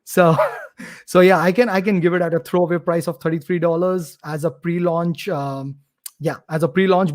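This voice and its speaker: A man's voice: a little high, a little mellow. A man, talking eagerly as a guest on a live show. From India. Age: 30s. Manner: eager, talkative, promotional. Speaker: guest, course creator. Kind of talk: casual live-stream interview. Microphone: computer mic on a live video stream.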